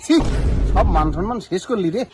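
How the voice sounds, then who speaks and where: A man's voice: sits high, expressive, fairly quick. A man playing a nosy, hypocritical village father in an amateur comedy skit, with a pushy, scolding manner.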